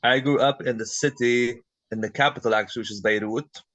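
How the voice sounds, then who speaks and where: A man from Lebanon, a podcast interview guest, talking in an articulate, relaxed, conversational way. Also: mid-range voice, fairly quick, no room sound. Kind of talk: casual interview.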